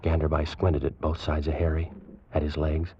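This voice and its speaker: A man narrating a tense story in the first person in 1940s radio drama style, slow and suspenseful. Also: a bass voice, monotone, a little mellow, a little hiss or hum. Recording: dull old radio recording with music.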